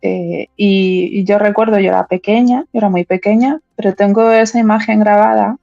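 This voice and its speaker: A woman's voice: medium pitch, steady tone, moderate pace, creaky, mellow, no room sound, lo-fi sound, soft background music. A woman in her fifties from Madrid, answering interview questions as a political candidate, often repeating words and restarting her phrases. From Madrid, Spain. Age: 50s.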